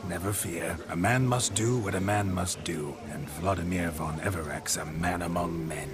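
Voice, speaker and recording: An actor in a video game playing a gruff monster hunter possessed by a boisterous ghost, speaking in a roguish, flowery way. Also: male voice, sits low, moderate pace, noisy background, close to an everyday voice. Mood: cocky.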